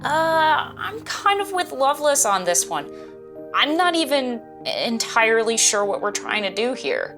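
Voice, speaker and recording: An actress playing a terse, competitive crew member in an audio drama, clipped and dry. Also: female voice, sits high, very animated, a little slow, over music. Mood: baffled, flat.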